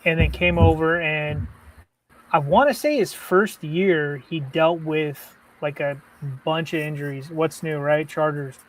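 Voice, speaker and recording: A man's voice: a little high, a little slow, rough recording. A man co-hosting a live sports podcast, chatting loosely with lots of "like" and "you know". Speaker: podcast co-host. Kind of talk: casual live-stream chat.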